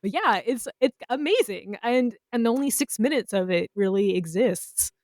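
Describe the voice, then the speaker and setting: A woman's voice: a little high, expressive, normal speed, a little mellow, dry recording, studio quality. A woman co-hosting a podcast, chatting casually in an informal, loosely phrased way. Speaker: podcast co-host. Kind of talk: casual panel chat.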